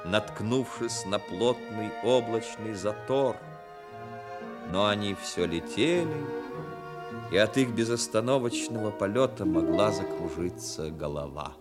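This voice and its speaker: An actor narrating a seaside New Year tale in a measured, literary manner for a 1960s radio play. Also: male voice, lowish voice, over loud music, lightly acted. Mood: measured, expressive.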